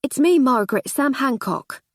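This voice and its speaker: A woman voicing a proud, sleepy young male village constable with a folksy, excitable manner. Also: high-pitched, normal speed, no echo, clean studio sound, pitched above the performer's own voice. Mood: impatient.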